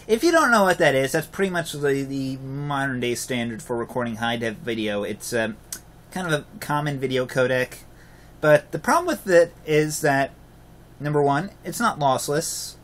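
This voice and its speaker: A man with a loud voice casually talking viewers through a software tutorial over a screen recording, blunt and rambling, often restarting his sentences. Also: medium pitch, lively intonation, normal speed, slight background noise. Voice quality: self-described loud voice. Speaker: video tutorial host.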